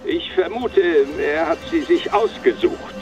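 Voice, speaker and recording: Animated, exasperated policeman, muffled line. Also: sits high, measured pace, vocal fry, music in the background, heavily acted. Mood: exasperated, pedantic. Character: exasperated, pedantic police colleague on the telephone. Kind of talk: radio crime drama acting. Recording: dull, band-limited phone line.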